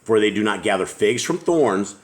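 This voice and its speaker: A middle-aged man with a deep, low voice leads a home Bible study. He reads scripture aloud at an unhurried pace and stops to put questions to the others. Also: lively intonation, slight background noise.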